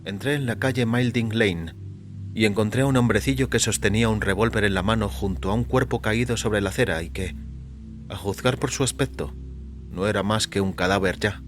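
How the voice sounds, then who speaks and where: A man's voice: on the low side, a little fast. A man narrating a scripted first-person story for an audio fiction production. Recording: music behind the voice.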